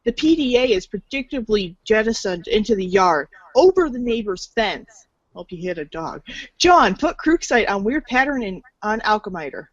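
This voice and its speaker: A woman's voice: mid-range voice, sing-song, moderate pace, dry recording, muffled recording. A woman narrating a scripted read-along storybook in an informal, playful way, stumbling over words now and then.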